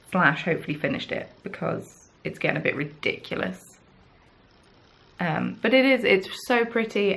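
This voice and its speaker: A woman's voice: mid-range voice, creaky, a bit bright. Chatty Southern English woman podcaster. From south of England. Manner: chatty, rambling, self-deprecating. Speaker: podcast host. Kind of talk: casual chat.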